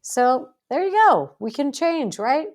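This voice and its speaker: A woman's voice: sits high, sing-song, takes it slowly, a little mellow. A middle-aged American woman hosting a podcast, chatting casually in an emphatic, folksy way. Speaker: podcast host. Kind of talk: casual conversational interview.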